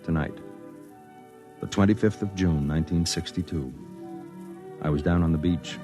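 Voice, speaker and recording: A man's voice: low voice, normal speed, natural voice. An American actor playing a lonely, sensitive reporter in his thirties, narrating an old radio drama in a brooding, confessional way. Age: 30s. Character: lonely, sensitive thirty-one-year-old newspaper reporter and secret poet. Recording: vintage radio broadcast with music.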